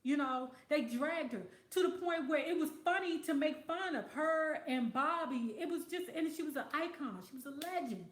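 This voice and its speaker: A woman's voice: high voice, normal speed, hardly any pauses, reverberant. A woman hosting a casual livestream, talking bluntly with plenty of profanity and losing her voice. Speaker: livestream host reading viewer comments.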